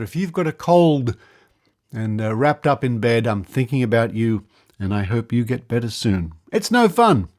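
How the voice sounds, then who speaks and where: A man with an Australian accent hosting a podcast in a conversational monologue, wry and musing, with deliberate pauses for emphasis. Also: medium pitch, darkish tone, dry recording, clean studio sound. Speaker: podcast host.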